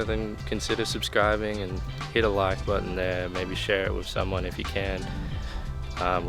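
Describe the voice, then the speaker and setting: A young Australian man vlogging casually outdoors on a photo walk, chatty and thinking aloud as he shoots. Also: lowish voice. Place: outdoors in a park by water. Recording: loud background music.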